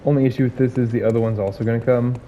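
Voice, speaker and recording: A man giving casual gameplay commentary, hoarse from illness, rambling with many fillers and restarts. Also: medium pitch, fairly even intonation, racing, mellow, lo-fi sound, a little hiss or hum.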